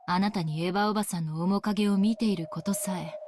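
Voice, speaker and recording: A woman acting a cold, quick-spoken young woman. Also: mid-range voice, steady tone, noisy background, close to an everyday voice. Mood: cold, bitter. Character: embittered young woman facing the witch who tormented her. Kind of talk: Japanese visual novel voice acting. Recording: game audio over music.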